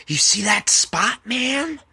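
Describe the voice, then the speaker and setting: A man acts out a spaced-out, eager mystic in a story reading. The voice jumps high and pushes forcefully, drawing out its insistent pleas. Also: sing-song, slow, breathy edge, no room sound, heavily acted. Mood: excited, insistent.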